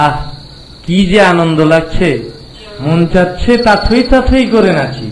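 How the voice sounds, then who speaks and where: Man voicing a high-pitched greedy cartoon landlord. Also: sing-song, unhurried, slight background noise. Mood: gloating. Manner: self-satisfied, exclaiming, musing aloud. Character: greedy, gullible, gloating village landlord. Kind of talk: Bengali folk-tale cartoon voice acting. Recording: echoey room sound.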